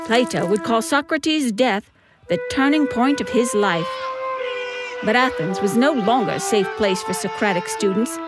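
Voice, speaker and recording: A woman narrates a comic tale with wry theatrical flair. Her voice is high and very lively, and loud music plays behind it.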